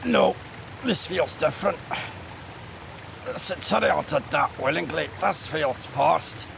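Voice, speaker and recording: Grim celestial being, voiced by a man: higher-pitched, thick creaky gravel, fantasy audio drama. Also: takes it slowly, background noise, exaggerated voice. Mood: troubled, grim.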